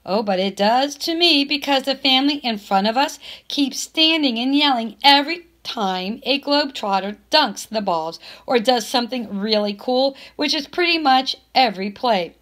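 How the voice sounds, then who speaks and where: A woman reading a children's novel aloud in expressive narration, with measured phrasing and emphatic stresses. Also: high-pitched. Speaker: teacher reading a book aloud.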